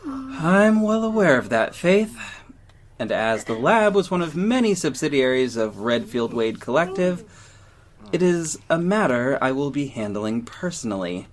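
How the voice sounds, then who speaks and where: A man's voice: a little high, sing-song, unhurried. A man playing a sarcastic, petty young company head who narrates an audio drama in a wry, dramatic way. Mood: petty, assertive. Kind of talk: first-person audio drama narration and dialogue.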